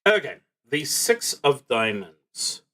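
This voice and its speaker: A man streaming a solo tabletop roleplaying game, thinking aloud in a halting, reflective way as he works through his moves. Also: medium pitch, sing-song, bright, forceful tone.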